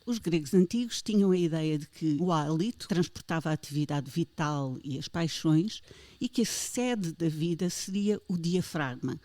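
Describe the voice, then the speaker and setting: A woman's voice: deep voice, lively intonation, normal speed, darkish tone, no echo. A woman with a European Portuguese accent hosting a health podcast, interviewing a guest with playful, theatrical questions. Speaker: podcast host.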